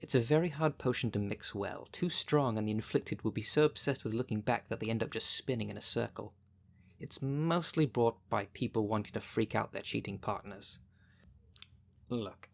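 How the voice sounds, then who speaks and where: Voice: mid-range voice, vocal fry. A dry, bossy city witch, acted out in ASMR roleplay. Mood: wry, defensive. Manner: dry, matter-of-fact, casually bossy. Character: sardonic, brisk witch shopkeeper of a city potion shop, female. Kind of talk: ASMR roleplay, speaking directly to the listener.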